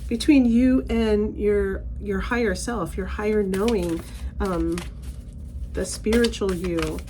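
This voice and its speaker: A middle-aged woman talks through an oracle card reading in a loose, chatty way, full of fillers and restarts. Her voice is a little raspy after a recent bout of laryngitis. A faint hum of room noise sits behind her. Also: mid-range voice, slow. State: slightly raspy, recovering from laryngitis. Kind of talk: casual spiritual card reading.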